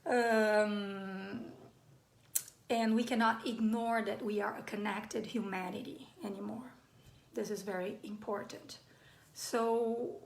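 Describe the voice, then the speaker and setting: A woman in her forties from Brazil, with a Brazilian Portuguese accent, giving a live online talk as a playwright and often pausing on fillers and restarts. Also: a little high, gravelly, slight background noise. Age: 40s. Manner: hesitant, many fillers and restarts. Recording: roomy echo.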